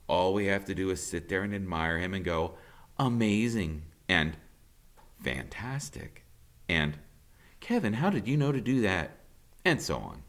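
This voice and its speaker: A man, a teacher, reading a novel aloud in the first person with an expressive, conversational delivery. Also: sits low. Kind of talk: audiobook narration in first person.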